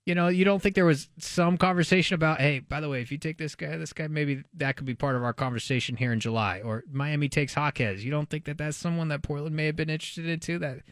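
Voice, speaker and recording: A man's voice: on the high side, fast talker, few pauses, fairly bright tone, no echo, clean studio sound. A man chatting casually as a sports radio commentator, informal and speculative.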